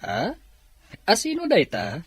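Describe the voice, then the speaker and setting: A man's voice: a little high, wildly swooping pitch, slow pace, fairly bright tone, husky, exaggerated voice. A man playing a kindly, obliging boss and father in a dramatized audio story. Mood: agreeable.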